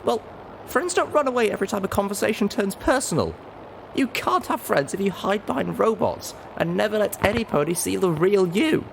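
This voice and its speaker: A man's voice: sits high, animated, brisk, vocal fry, slight background noise, voice pushed up, rough recording. A man voicing a feisty, earnest young female pony in an audiobook story. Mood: indignant.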